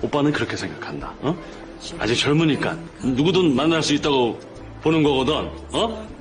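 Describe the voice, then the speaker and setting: A man's voice: medium pitch, very animated, moderate pace, soft background music, lightly acted. Dude playing a cocky teasing big-brother guy, voice swings all over. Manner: teasing, boastful, casual. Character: cocky older brother-in-law figure bragging about drinking.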